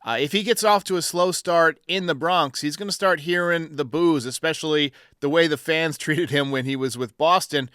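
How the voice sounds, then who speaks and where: A man's voice: fairly high pitch, moderate pace, few pauses, pushed and bright, clear, resonant tone, no room sound, clean studio sound. An American man hosting a sports talk show, giving commentary in response to fan calls.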